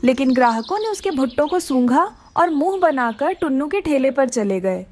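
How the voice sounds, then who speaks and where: A woman's voice: sits high, lively intonation, normal speed, darkish tone, slight background noise. A woman giving scripted narration for a children's animal story.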